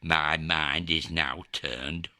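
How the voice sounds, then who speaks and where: A man's voice: very deep voice, steady tone, sharp tone, heavily acted. A man playing a scurrilous, sneering onlooker in a Shakespearean war drama, drawing out his mockery. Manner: mocking, drawn-out. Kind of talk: theatrical Shakespearean acting.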